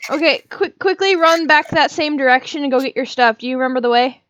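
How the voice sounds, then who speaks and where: Woman, high voice, informal delivery, dry and slightly lo-fi recording. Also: quick pace, a bit bright, dry recording. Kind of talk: casual chat among friends while gaming, reading her own story.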